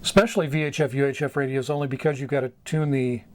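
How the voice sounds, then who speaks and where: A middle-aged American man giving an informal instructional talk, going item by item in short, halting phrases. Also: mid-range voice, steady tone, a little hiss or hum. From United States. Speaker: amateur radio mentor, video host. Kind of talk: informal instructional explanation at a whiteboard. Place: small wood-panelled room indoors.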